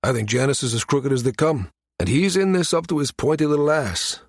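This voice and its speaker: A man plays a firm station security chief in a science fiction audiobook. He speaks with measured, matter-of-fact authority at a middle male pitch. The recording is very dry. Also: no echo, lightly acted. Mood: measured, conceding. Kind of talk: audiobook character dialogue.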